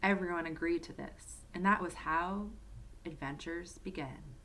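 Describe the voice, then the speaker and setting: A woman's voice: on the low side, a little slow, slight background noise, low-quality recording. Woman reading a children's storybook aloud. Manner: expressive, addresses young listeners directly.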